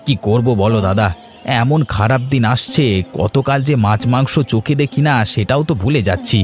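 A man's voice: mid-range voice, normal speed, faint music behind, natural voice. A man voicing a destitute, despairing village neighbour in a cartoon, with a lamenting manner. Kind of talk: cartoon drama dialogue.

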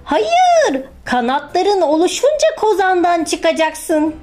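A woman voicing a kind, patient female butterfly in a children's storybook, curious and gently instructive. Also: very high-pitched, very animated, takes it slowly, faint music behind, pitched above the performer's own voice, full character voice. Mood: reassuring.

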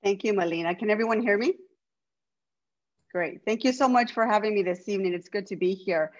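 A woman's voice: medium pitch, fairly quick, lo-fi sound. A woman whose first language is Spanish, from Los Angeles, speaking earnestly as city council president on a video call. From Los Angeles, USA. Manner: earnest, speaks with many restarts. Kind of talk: opening remarks at an online public meeting.

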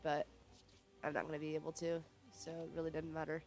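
A young woman sniffly with a cold, thinking aloud in low-key, casual commentary as she plays a video game. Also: completely flat delivery, a little fast, darkish tone. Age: young adult. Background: game music.

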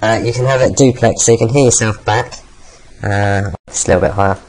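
A man demonstrating software while his voice runs through a pitch-shifting voice changer, rambling with ums. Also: fairly even intonation, a little fast, slight background noise, low-quality recording. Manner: rambling, with many ums and restarts. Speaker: software reviewer. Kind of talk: casual screen-recording tutorial.

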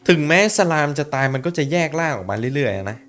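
A man hosting a reaction video, talking casually with excitable outbursts and repeated words. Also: mid-range voice, fast talker.

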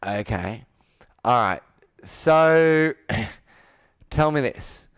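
A man's voice: mid-range voice, animated, drawn-out words, fairly bright tone, clean tone, no echo, clean studio sound. A man in his thirties working as a sales coach, asking direct, probing, persuasive questions in a conversational roleplay on a video call. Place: indoor room with whiteboard, on a video call.

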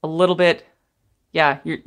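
A woman's voice: deep voice, steady tone, moderate pace. A young woman giving a chatty, meandering tarot reading to viewers, laughing now and then as she talks. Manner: chatty, meandering, gentle, laughs while talking. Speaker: tarot reader. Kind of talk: pick-a-card tarot reading. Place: indoors at a table.

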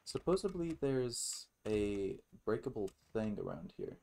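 Low-voiced man rambling through a game. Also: sing-song, a little slow, vocal fry, a little mellow. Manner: rambling, musing aloud, swears casually. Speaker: gaming video host. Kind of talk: casual let's play commentary.

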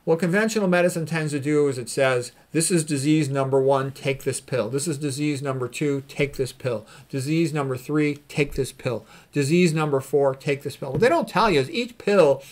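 A man's voice: a little high, normal speed, few pauses. A middle-aged man, a physician, gives an informal lecture in a blunt, emphatic, repetitive way, often checking in with a short tag question. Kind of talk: informal lecture with commentary on quotes.

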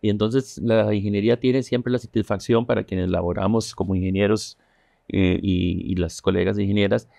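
A middle-aged man, a guest engineer explaining calmly in a TV studio interview on a lapel mic, with frequent tag questions. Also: fairly low pitch, normal speed, vocal fry, no room sound. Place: dark TV studio.

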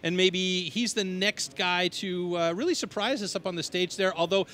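A man's voice: sits high, normal speed, pushed and bright. A man giving conversational live sports commentary, talking at length in an emphatic manner.

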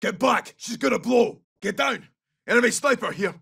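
An actor playing a tough, loyal Scottish special forces soldier in a video game, giving short, clipped callouts in a gravelly voice. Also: male voice, sits high, lively intonation, bright, forceful tone, far from the mic, heavily acted. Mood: urgent, commanding. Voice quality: husky, gravelly. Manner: clipped, brisk, urgent.

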